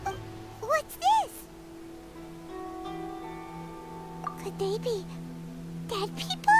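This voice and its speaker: A woman voicing a tiny, childlike, excitable fairy companion in a video game, squeaky and chatty. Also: slow, heavily acted. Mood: puzzled. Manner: chatty, refers to self by name. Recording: loud music behind the voice. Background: prominent game music.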